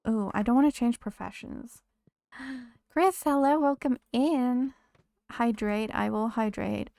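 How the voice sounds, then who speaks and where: Woman game streamer, mid pitch, gravelly and dry close-mic sound, voice going hoarse. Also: slow, warm tone, dry recording. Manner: chatty, greets viewers, talks to game characters. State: losing her voice. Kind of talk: casual live-stream chat while playing.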